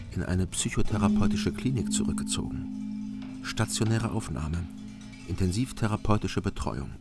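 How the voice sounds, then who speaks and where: An actor playing a weary, hard-drinking East German private detective who narrates and speaks in a dry, laconic way in a radio crime drama. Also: male voice, lowish voice, natural voice.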